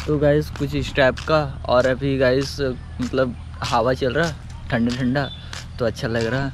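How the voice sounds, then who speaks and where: A man's voice: medium pitch, level tone, a little slow. A young man chatting casually to viewers while walking through dry scrub woodland by a road, filming with a phone held at arm's length and addressing his audience constantly. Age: young adult. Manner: chatty, repeats "guys" often, addresses viewers. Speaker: vlogger. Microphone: phone camera at arm's length, selfie framing. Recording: clear outdoor noise behind.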